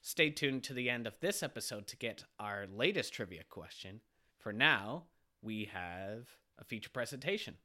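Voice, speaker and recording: A man with a bright, forceful voice hosts a relaxed podcast conversation. He sounds a little stuffy from a cold and keeps things moving with questions and easy laughter. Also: mid-range voice, normal speed. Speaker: podcast host. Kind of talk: casual podcast chat.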